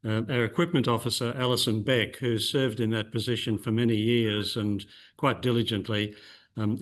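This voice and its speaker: Low, flat-voiced man giving a report. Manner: measured, formal, with ums. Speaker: general secretary. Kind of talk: reading a club report. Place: meeting room.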